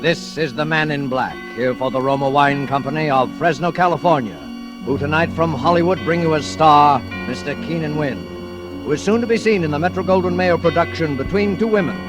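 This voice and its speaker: High-pitched ominous radio host played by a man, over music. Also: natural voice, low-quality recording. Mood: ominous, formal. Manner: formal, dramatic, measured phrasing. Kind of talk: 1940s radio suspense introduction, scripted. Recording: vintage 1940s radio with music.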